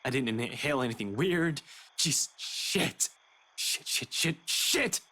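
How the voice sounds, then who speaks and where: Rattled young man, sharp bright voice. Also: mid-range voice, animated, slow pace, heavily acted. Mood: shaken, panicked. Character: rattled, defensive young man, sarcastic under panic. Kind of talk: voiced visual novel dialogue.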